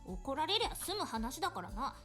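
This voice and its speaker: A woman playing a brash, rough-talking tomboy schoolgirl in an anime-style visual novel, blunt and slangy. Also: high voice, big pitch swings, rapid-fire, a bit bright. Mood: cheeky. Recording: game music behind the voice.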